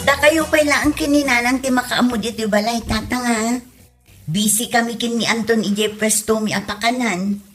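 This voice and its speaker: A woman's voice: a little high, moderate pace, fairly bright tone, faint music behind, natural voice. A woman playing a dutiful, caring grown daughter with an Ilocano accent in a comedy drama. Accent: Filipino, Ilocano.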